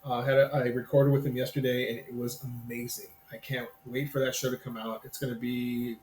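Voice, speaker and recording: A man's voice: medium pitch, level tone, fairly quick, a little mellow. A man in his fifties chatting loosely as a podcast guest, often restarting his sentences in a friendly way. His voice carries the sound of a roomy space, with a faint ambient hum behind it. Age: 50s. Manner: rambling, self-interrupting, friendly. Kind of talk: casual podcast chat.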